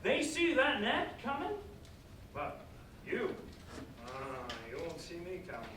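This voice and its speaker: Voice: mid-range voice, huge pitch swings, a bit bright, full character voice. An actor playing a weary, grumbling old fisher in a theatrical stage monologue, exclaiming and drawing out the words. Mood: sly, grumbling. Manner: theatrical, exclamatory, drawn-out delivery with sighs. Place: live staged reading in a reverberant room. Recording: very echoey hall, distant mic, noisy.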